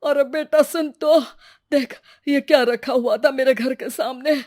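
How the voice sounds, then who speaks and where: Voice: sits high, fairly even intonation, unhurried, darkish tone, dry recording, clean studio sound. A performer voicing an anxious, helpless elderly village father, plaintive and colloquial, in a cartoon moral story. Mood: astonished.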